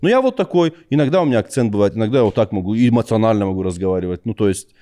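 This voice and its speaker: A man, a guest in a casual chat, telling stories in a lively way with street slang. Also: lowish voice, racing. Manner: animated storytelling with street slang.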